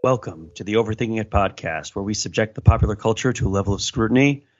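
A middle-aged man hosting a casual podcast, enthusiastic and wordy in a playfully formal way, with a somewhat hoarse voice. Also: on the low side, fast, a little mellow, soft background music. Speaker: podcast host. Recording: dull, lo-fi audio.

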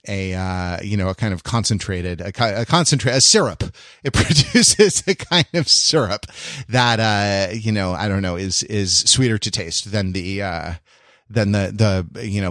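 A man's voice: lowish voice, a bit bright, dry recording, clean studio sound. A middle-aged man chatting as a podcast host in a casual, intellectual way, wry and digressive, often searching for his words. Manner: erudite, wry.

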